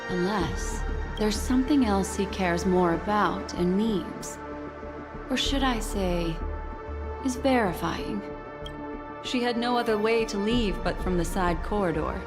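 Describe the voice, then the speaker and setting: An actress playing a composed, cryptic and shrewd woman guide in a video game, speaking in a measured, deliberate way. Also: medium pitch, music in the background, close to an everyday voice. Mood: grave, musing. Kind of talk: scripted video game dialogue.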